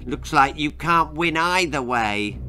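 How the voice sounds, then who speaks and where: A heartless, bossy young girl from a grisly children's storybook, acted out by a man. The delivery is threatening and deliberate, with the pitch raised a little. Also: lively intonation, bright, forceful tone, soft background music, exaggerated voice. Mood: gloating.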